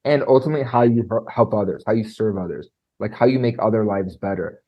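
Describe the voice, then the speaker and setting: A man coaching on presentation skills, talking in an animated way and filling his speech with "like" and "right?"; he has a trained high singing voice. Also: fast talker, highs cut off. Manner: animated, lots of fillers like "like" and "right?". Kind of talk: conversational podcast interview.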